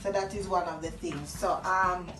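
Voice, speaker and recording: A woman's voice: lowish voice, fairly even intonation, moderate pace. A middle-aged woman with a Jamaican accent giving casual cooking instruction as a host. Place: echoey home kitchen. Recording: echoey room, faint music. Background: faint music.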